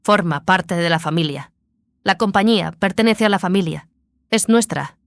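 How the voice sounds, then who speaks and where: A woman's voice: on the low side, moderate pace, creaky, no echo. A woman playing a proud, loyal daughter of a company owner in an audio drama, earnest and insistent.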